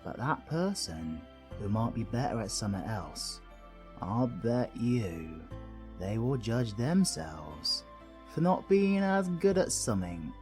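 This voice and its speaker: A man's voice: mid-range voice, very animated, takes it slowly, darkish tone, soft background music. A British man playing a cheeky, kind-hearted supervillain in an audio roleplay, chummy, slangy and reassuring, with a bit of mild swearing. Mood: gentle, reassuring. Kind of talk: scripted audio roleplay addressed to the listener.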